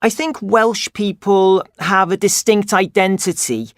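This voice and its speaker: A man playing a young man from North Wales, who speaks in a measured, firm scripted monologue. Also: sits high, no echo, clean studio sound.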